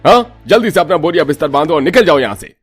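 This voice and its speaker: Husky, harsh old patriarch. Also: male voice, a little high, animated, fast, soft background music. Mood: angry, domineering. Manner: scolding, domineering. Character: harsh older patriarch father-in-law throwing out his daughters-in-law. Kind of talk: cartoon drama acting.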